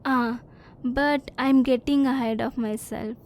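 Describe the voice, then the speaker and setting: A woman playing a curious, reserved alien scientist in an ASMR roleplay, speaking formally and precisely with measured restraint. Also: sits high, fairly even intonation, darkish tone. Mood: quietly hopeful.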